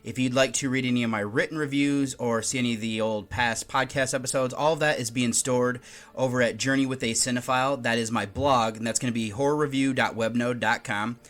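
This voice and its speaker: A man's voice: mid-range voice, fairly even intonation, brisk, a bit bright. A man hosting a film review podcast, talking casually and sometimes restarting a word. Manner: conversational, occasional restarts while reading notes. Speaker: podcast host.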